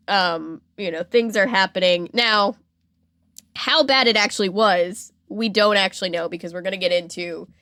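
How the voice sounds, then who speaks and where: A woman hosting a podcast, telling a story in a casual, animated, slangy way and acting out quotes. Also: fairly low pitch, no echo, clean studio sound. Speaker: podcast host.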